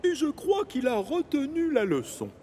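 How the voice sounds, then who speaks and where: Casting: pompous cartoon railway chief, fairly high voice, hugely varied intonation, authoritative and emphatic. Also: male voice, wildly swooping pitch, moderate pace, dark tone, slight background noise, heavily acted. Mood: firm, lecturing. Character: stern, pompous but fair railway controller. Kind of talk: animated children's series dub.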